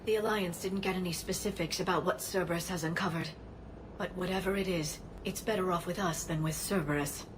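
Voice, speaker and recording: An actress playing a composed female alien scientist, measured and earnest. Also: lowish voice, steady tone, noisy background, natural voice.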